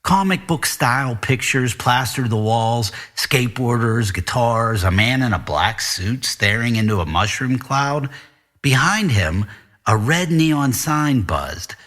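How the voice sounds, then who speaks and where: A man's voice: mid-range voice, a bit bright. A man reading his own noir fiction as scripted audiobook-style narration.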